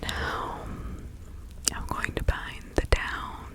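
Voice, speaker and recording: A woman whispering slowly and breathily in an ASMR roleplay, playing a kindly, protective witch who gives careful instructions. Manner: slow, deliberate, instructing with soft repetition. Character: kindly, protective 17th-century witch woman giving counsel.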